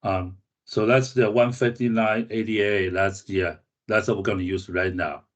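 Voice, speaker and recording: A man's voice: lowish voice, steady tone, normal speed. A man talking informally in a work meeting, hesitant and repeating words. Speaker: meeting participant.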